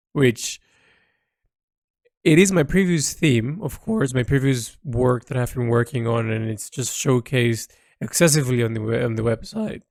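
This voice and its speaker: A man's voice: mid-range voice, a little mellow, dry recording, clean studio sound. A man recording an informal, reflective audio diary, speaking hesitantly as he thinks aloud and lets phrases trail. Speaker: artist recording a personal audio log.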